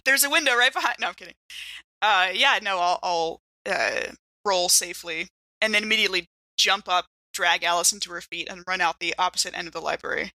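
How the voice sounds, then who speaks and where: A woman playing a tabletop game, improvising action casually and thinking aloud in animated conversation. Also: on the low side, a little fast, no room sound. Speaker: tabletop game player on podcast. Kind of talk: casual improvised banter and storytelling.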